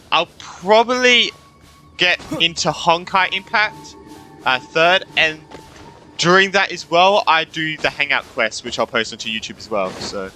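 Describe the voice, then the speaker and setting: Young male gaming YouTuber, casual and rambling, very bright slightly high voice over game music. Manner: informal, rambling. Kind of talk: casual game playthrough commentary.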